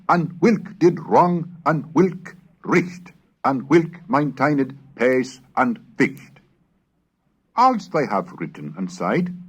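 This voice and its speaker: A man reading scripted lectures and old verse in a measured, scholarly manner, as a lecturer with a husky voice. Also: fairly high pitch. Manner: measured, scholarly, declamatory when reciting. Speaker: lecturer and reader. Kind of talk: scripted narration on English language history, with Middle English recitations.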